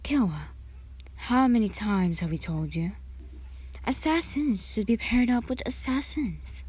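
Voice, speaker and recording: A woman's voice: on the high side, animated, unhurried, a little mellow, husky, pitched above the performer's own voice. A woman voicing a cold, sly assassin mother who scolds haughtily, in an anime fan fiction reading. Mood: scolding.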